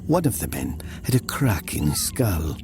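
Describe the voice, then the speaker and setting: A man narrating a first-person horror story dramatically, in a hushed, deliberate, often whispery manner. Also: very deep voice, very animated, background noise.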